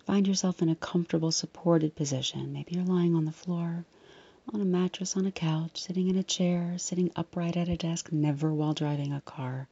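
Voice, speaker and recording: Low, breathy woman guiding meditation. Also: fairly even intonation, highs cut off. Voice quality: soft, breathy, often near whisper. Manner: soothing, unhurried, gently reassuring. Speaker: guided meditation host. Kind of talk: guided meditation narration.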